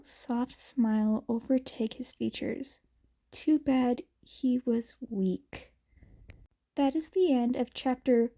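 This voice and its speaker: A woman reading fanfiction aloud as a narrator in a soft, unhurried way. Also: a little high. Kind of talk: amateur audiobook narration.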